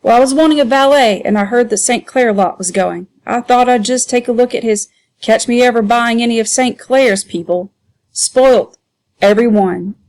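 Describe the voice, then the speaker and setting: A woman's voice: fairly high pitch, moderate pace, darkish tone, natural voice, poor audio. A woman voicing a sprucely dressed young man who talks in a scornful, offhand way.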